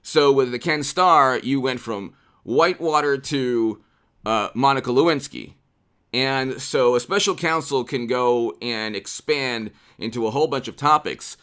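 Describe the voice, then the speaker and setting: Casting: American male commentator, 40s, mid-pitched, unscripted and emphatic, repeats phrases for stress, close desk mic. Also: unhurried, fairly bright tone, clear, resonant tone. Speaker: political commentary channel host. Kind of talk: unscripted political commentary to camera. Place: small indoor room with poster-covered walls. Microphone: desk condenser microphone, close.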